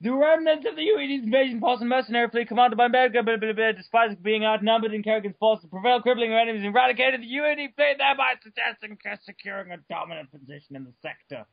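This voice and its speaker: A man's voice: very high-pitched, quick pace, vocal fry, hardly any pauses, no room sound, highs cut off. A man giving a mock-dramatic reading of an article, theatrical and stumbling over names with blah blah. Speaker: reader.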